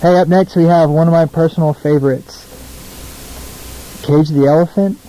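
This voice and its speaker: A man's voice: on the high side, fairly even intonation, moderate pace, mellow, low-quality recording. A young American man hosting a casual radio show, chatty, informal and self-deprecating between songs. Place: small radio studio, alone.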